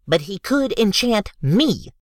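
A man voicing a timid, stubborn male gnome servant in a high, whiny voice. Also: sing-song, slow pace, no room sound, clean studio sound, exaggerated voice. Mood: fretful.

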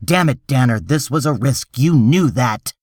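A man's voice: mid-range voice, animated, unhurried, a little mellow, no room sound, clean studio sound, voice pushed up. A man voicing an irritable, defensive official in a story reading, insistent and exasperated. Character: tense, defensive, irritable male official making excuses.

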